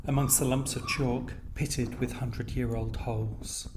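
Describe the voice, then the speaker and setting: A man reading poetic scripted narration in measured, deliberate phrases. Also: mid-range voice, steady tone, reverberant, noisy background.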